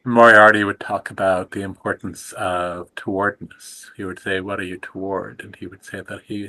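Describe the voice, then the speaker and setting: A middle-aged man speaking slowly and softly in a reflective, contemplative conversation, his voice trailing off at the ends of phrases. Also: on the low side, rough recording. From United States. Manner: slow, soft and contemplative, trailing off quietly. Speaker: guest teacher.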